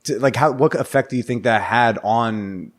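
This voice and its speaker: A man's voice: mid-range voice, moderate pace. A young man hosting a casual podcast, asking curious, playful questions of his guest. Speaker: podcast host. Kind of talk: casual conversational interview.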